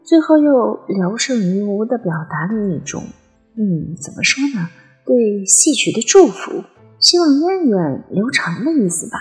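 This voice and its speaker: A woman playing a lively, playful and chatty nineteen-year-old girl in an audio drama. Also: mid-range voice, unhurried, faint music behind. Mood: explaining, thoughtful. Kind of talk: Chinese audio drama acting.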